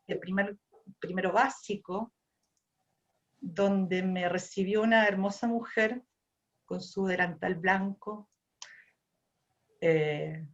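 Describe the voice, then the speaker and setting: A woman's voice: mid-range voice, highs cut off. A woman from Argentina with an Argentine accent, a ceramic artist thinking aloud as she tells the stories behind her work, often repeating and restarting phrases. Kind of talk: reflective personal storytelling.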